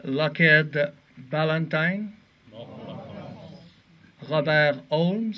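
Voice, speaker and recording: Slow solemn man, English accent. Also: a little high, lo-fi sound. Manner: reads names slowly and solemnly. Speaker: name reader at a memorial. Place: outdoors at a memorial.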